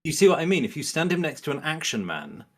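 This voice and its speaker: A man's voice: fairly high pitch, big pitch swings, fast, a crowd in the background. A man speaking as a podcast guest in a casual, analytical discussion, often rephrasing himself as he thinks aloud.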